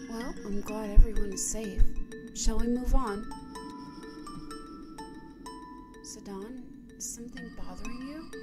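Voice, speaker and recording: A woman voicing a gentle young princess with a polite, concerned manner in a fantasy game fan dub. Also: a little high, normal speed, close to an everyday voice. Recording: loud game music behind the voice.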